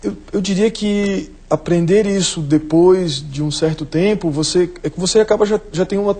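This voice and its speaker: Mellow-voiced man speaking haltingly. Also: sits high. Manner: hesitant, halting. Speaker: businessman, guest. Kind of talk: studio interview.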